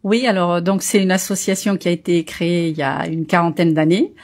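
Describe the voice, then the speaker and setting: A woman's voice: on the low side, steady tone, a little fast. Hesitant woman from Portugal recounting memories. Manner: hesitant, many fillers, warm storytelling. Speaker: association member, guest. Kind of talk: casual interview, telling memories.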